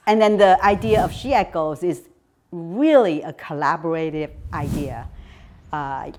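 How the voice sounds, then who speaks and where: A woman's voice: lowish voice, darkish tone, slight background noise. A woman from Asia speaking about herself in a personal interview as an event chair. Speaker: event chair and nonprofit vice president.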